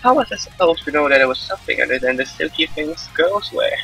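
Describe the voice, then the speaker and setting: A man's voice: a little high, lively intonation, fast, gravelly, sharp tone, exaggerated voice, rough recording. A man voicing a flustered, guarded young spirit girl in a live visual novel dub, with a prim, questioning delivery. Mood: defensive. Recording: music playing behind the voice.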